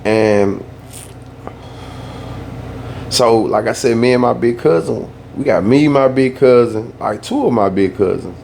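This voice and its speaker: A young man from the Southern US telling a family story in a laid-back, slangy way that turns animated when he gets worked up. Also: mid-range voice, unhurried, gravelly, pauses often, a little hiss or hum. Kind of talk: casual storytime.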